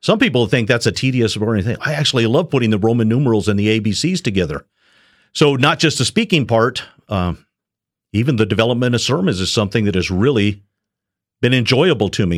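A middle-aged man speaks with a somewhat low voice, telling his story in an earnest, measured way, pausing and restarting as he goes. The recording is dry and close, with no room sound. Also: no echo. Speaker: shepherd school student, retired police officer. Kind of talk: personal testimony on a radio show.

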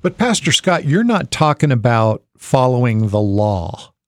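Eager chatty radio co-host. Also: male voice, medium pitch, big pitch swings, measured pace, no echo, clean studio sound. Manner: chatty, eager, stumbles and restarts. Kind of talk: radio talk show interviewing.

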